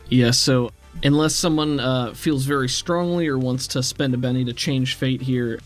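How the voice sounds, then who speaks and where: A man's voice: mid-range voice, normal speed, soft background music. A man running a tabletop role-playing game as game master, narrating scenes in a descriptive, cinematic way.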